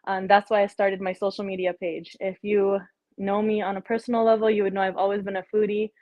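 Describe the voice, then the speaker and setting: A woman's voice: mid-range voice, little pitch movement, a little fast, no echo. Friendly Texan-accented woman cook, dull dry home recording. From Texas. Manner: friendly, talkative, with ums and uhs. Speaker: home cook introducing herself. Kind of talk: casual cooking demo.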